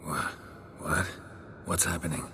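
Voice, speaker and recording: An actor playing a gruff, laconic male monster hunter with a gravelly, very deep voice in a game cutscene. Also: unhurried, sharp tone, slight background noise, full character voice. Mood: dry. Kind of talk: video game cutscene acting.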